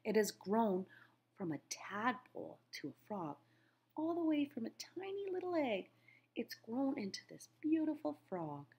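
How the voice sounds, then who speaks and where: A woman's voice: on the high side, sing-song, measured pace, a little mellow, breathy edge. A middle-aged woman teacher reading a children's nature book aloud, phrasing things simply and putting questions to young listeners.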